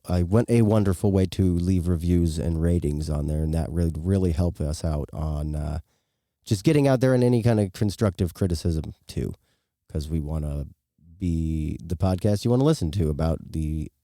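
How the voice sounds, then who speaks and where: A man's voice: sits low, warm tone, dry recording, studio quality. A man co-hosting a podcast in casual, informal chat with a joking, rambling way of talking. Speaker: podcast co-host.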